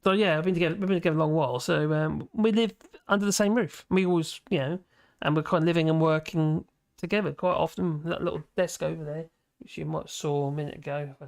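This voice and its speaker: Gravelly, rambling man in his fifties. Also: a little high, fairly even intonation, quick pace, dry recording, studio quality. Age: 50s. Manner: chatty, chuckling, self-mocking asides. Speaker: livestream host reading chat. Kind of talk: casual rambling chat. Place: home office at his desk.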